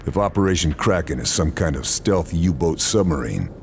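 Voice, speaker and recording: An actor playing a grim American sniper who narrates in a terse, matter-of-fact way. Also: male voice, low-pitched, expressive, normal speed, gravelly, a little hiss or hum. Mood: determined. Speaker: narrator and lead soldier.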